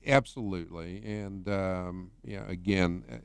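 A middle-aged man speaking as a healthcare administrator in a radio interview, measured and hesitant with frequent restarts. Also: low-pitched, fairly even intonation, gravelly, clear, resonant tone, no room sound.

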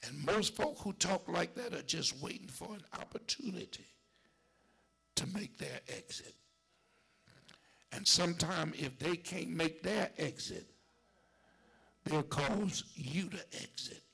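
A man's voice: a little high, animated, slow pace, gravelly, pauses often, slightly rough. A man preaching a sermon in a church cadence, building with repeated lines and sometimes sinking to a whisper. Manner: preaching with rising shouts and dropping to whispers; call-and-response church cadence, repetition.

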